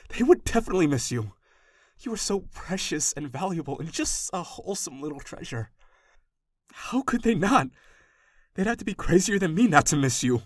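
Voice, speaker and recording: A man's voice: a little high, sing-song, normal speed, no echo, studio quality. A man playing an obsessive, doting kidnapper in a roleplay audio, speaking to the listener with rambling, anxious affection. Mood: emphatic, protective. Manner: soft-hearted, rambling, hesitant, gushing reassurance. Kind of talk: intimate roleplay audio acting addressed to the listener.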